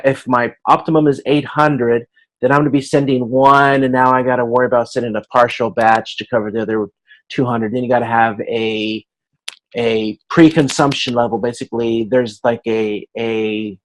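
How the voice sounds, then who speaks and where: Guy teaching an online class, medium pitch, kinda halting, explains stuff with little pretend quotes. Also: fairly even intonation, slight background noise, rough recording. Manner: explanatory, halting, checks understanding, acts out hypothetical dialogue. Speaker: instructor. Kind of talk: informal online lecture. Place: video conference class.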